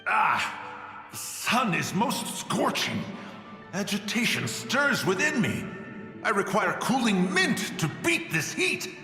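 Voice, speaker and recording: Haughty ancient immortal, highish forceful voice, archaic phrasing, echoing hall, game acting. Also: male voice, very animated, exaggerated voice. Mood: grandly agitated. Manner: archaic, pompous, deliberate. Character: haughty ancient male adeptus, grandly eccentric. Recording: reverberant hall effect, music behind.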